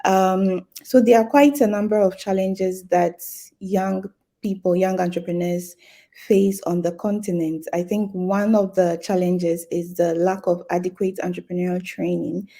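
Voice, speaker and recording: A woman from Ghana speaking on a business panel, explaining her points in a measured way with frequent fillers. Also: fairly low pitch, steady tone, mellow. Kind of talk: panel discussion.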